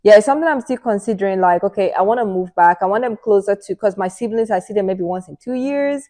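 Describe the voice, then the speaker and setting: A Nigerian woman in her thirties co-hosts a relaxed podcast chat. She speaks earnestly, advising her listeners and often echoing or finishing her partner's phrases. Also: medium pitch, quick pace. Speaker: podcast co-host. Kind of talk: casual chat.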